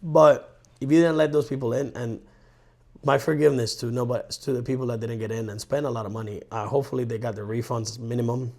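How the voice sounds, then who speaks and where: A man in his thirties from Colombia, telling stories in a rambling, animated way on a casual podcast. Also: medium pitch, quick pace. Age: 30s. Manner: rambling, animated storyteller with restarts. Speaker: podcast co-host. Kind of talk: casual chat.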